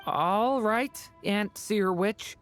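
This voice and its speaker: Slow, gentle young boy. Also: medium pitch, faint music behind. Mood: polite, earnest. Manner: soft, hesitant, respectful. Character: gentle, polite young dragon boy, earnest and shy. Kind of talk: fantasy game voice acting.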